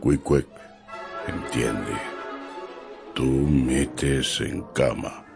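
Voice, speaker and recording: An actor playing a foreign cannibal harpooner in a radio drama, gruff yet courteous, speaking in broken, clipped phrases. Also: male voice, a bass voice, over music, heavily acted. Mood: solemn, friendly. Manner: slow broken speech in short clipped phrases.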